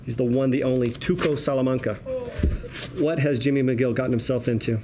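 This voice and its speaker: A man narrates a screenplay's action in scripted present tense at a table read. His medium-pitched delivery is vivid and wry, with some noise in the room. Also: steady tone, brisk. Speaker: stage directions reader.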